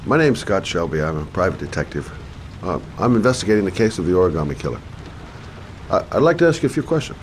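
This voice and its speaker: An actor playing a polite, persistent private detective in a dramatic video game. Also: male voice, big pitch swings, fast. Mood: courteous, persuasive. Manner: polite, persuasive. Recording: rain and rumble behind voice.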